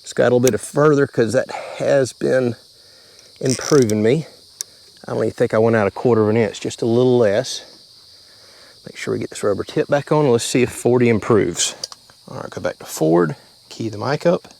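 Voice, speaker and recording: A man's voice: medium pitch, a little fast, gravelly, darkish tone, slight background noise. A man, talking through a hands-on how-to in a casual, plain-spoken way from inside a vehicle. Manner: plain-spoken, step-by-step explaining. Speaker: channel host.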